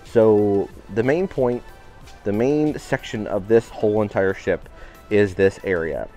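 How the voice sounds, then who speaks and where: A man giving an upbeat walking tour of a cruise ship, his voice a little raspy today. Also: on the low side, a little slow, warm tone, faint music behind. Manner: upbeat, enthusiastic guiding. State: slightly raspy voice. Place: indoor public areas of a cruise ship.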